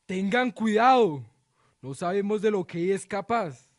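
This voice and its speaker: Guy playing a stern regent in a radio play, high voice, bossy and formal, really dry recording. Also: expressive, a little slow, dry recording. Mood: wary warning. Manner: formal, commanding. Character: stern male witch-hunting regent passing cruel sentence. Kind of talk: radio drama acting.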